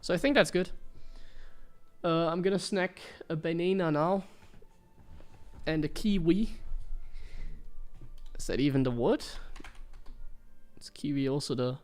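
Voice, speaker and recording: A man's voice: fairly high pitch, unhurried, long pauses, soft background music. A young German man with a German accent, German being his first language, streaming and chatting frankly about his own gameplay while criticizing himself in a rambling way.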